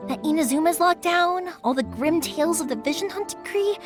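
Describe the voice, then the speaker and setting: Bubbly young fireworks maker. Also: female voice, extremely high pitch, brisk. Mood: earnest. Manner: lively, chatty, big-sisterly. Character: cheerful young woman fireworks maker, spirited. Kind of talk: game voice acting. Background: game music.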